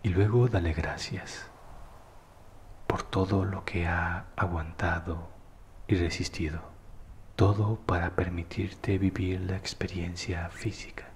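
A man narrating a guided meditation in a calm, soothing, unhurried manner, with phrases that often trail into breathiness. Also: low voice, in a large hall, slight background noise.

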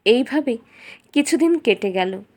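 A woman's voice: a little high, animated, a little slow, warm tone. A woman reading a scripted fairy tale as the storyteller of a cartoon.

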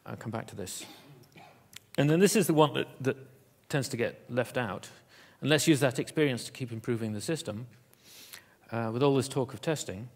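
A man giving a conference keynote in an auditorium, talking in a halting way with many fillers and restarts. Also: mid-range voice. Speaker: keynote speaker.

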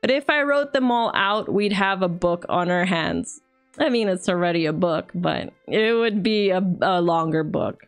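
A young woman streamer reading a viewer's story aloud in an earnest, steady way, then reacting in loose, chatty talk, recorded on a desk mic at home. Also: on the low side, normal speed, clear, resonant tone, faint music behind.

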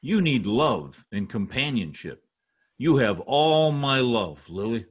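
A man's voice: mid-range voice, sing-song, takes it slowly, slightly rough, dull audio, full character voice. A middle-aged man playing an anxious, pompous, theatrical husband in old-time radio comedy style. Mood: tender. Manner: dramatic, exclaiming. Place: home office, over video call. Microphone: video call computer mic.

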